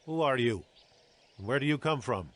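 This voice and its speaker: A man's voice: mid-range voice, lively intonation, normal speed, fairly bright tone, close to an everyday voice. A man playing a stern Israelite leader in a dramatized Bible, questioning and judging with authority. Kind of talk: dramatized Bible audio acting.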